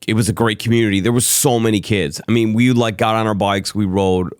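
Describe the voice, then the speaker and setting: A man's voice: fairly low pitch, steady tone, a little fast, no echo, clean studio sound. Rambling middle-aged man, East Coast–Midwest accent. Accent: mixed East Coast and Midwest American. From Chicago area, USA. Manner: rambling, self-interrupting, with frequent ums and restarts. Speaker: interview guest. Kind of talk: candid storytelling conversation.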